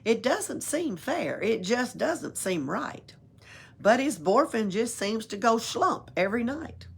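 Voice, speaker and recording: A woman's voice: on the high side, takes it slowly, vocal fry, husky. A woman reading a rhyming picture book aloud at a library storytime, playful, with little asides of her own. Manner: expressive, playful, adds asides and chuckles.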